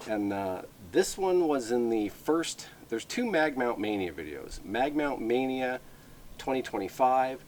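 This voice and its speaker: A man giving a casual, unscripted product overview in a halting, conversational way with plenty of filler words. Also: mid-range voice, big pitch swings, a little mellow, a little hiss or hum. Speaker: product reviewer.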